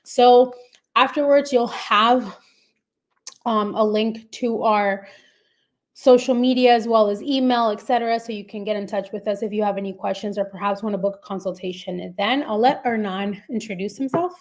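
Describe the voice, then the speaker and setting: A woman's voice: mid-range voice, normal speed. Woman hosting a live webinar. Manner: friendly, explanatory, frequent fillers and restarts. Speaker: immigration firm CEO hosting a webinar.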